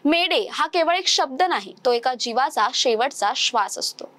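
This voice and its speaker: A young woman presenting a news explainer in a measured, formal newsreader style. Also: sits high, expressive, a bit bright. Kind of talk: scripted news narration.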